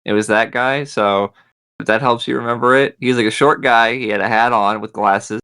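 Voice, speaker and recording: Man, podcast panelist, mid-pitched voice, casual fan discussion, explanatory. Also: fairly even intonation, normal speed, sharp tone, clean tone.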